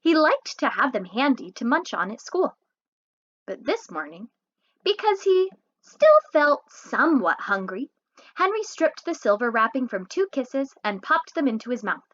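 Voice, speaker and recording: Woman, higher-pitched voice, very lively intonation, expressive children's read-aloud, slightly muffled lo-fi recording. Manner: animated, pauses to ask listeners questions. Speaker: read-aloud host, storyteller.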